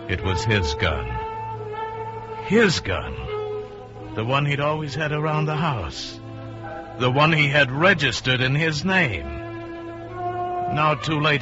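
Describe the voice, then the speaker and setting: An American actor giving a dramatic radio suspense performance as a storyteller and an anguished, scheming man in love. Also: mid-range voice. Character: anguished scheming murderer, a lovestruck man telling his downfall. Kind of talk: 1950s radio suspense acting, dramatic narration. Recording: muffled vintage radio recording, music underneath.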